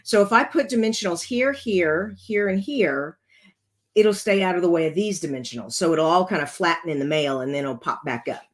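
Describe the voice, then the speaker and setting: A woman with a rough, congested voice hosting a casual card-making tutorial, chatting her way through each step as she works. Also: lowish voice, lively intonation, a little fast.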